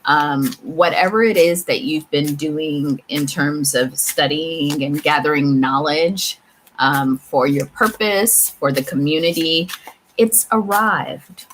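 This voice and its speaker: A woman tarot reader speaking reflectively and haltingly to her viewers, repeating phrases for emphasis as she gives intuitive spiritual guidance. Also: deep voice, fairly bright tone, slight background noise, poor audio. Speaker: tarot reader and channel host.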